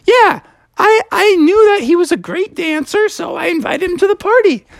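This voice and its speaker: Storybook zebra, perky and high, a bit lively, a woman voicing it. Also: moderate pace, vocal fry, dry recording, exaggerated voice. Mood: cheerful. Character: friendly helpful zebra.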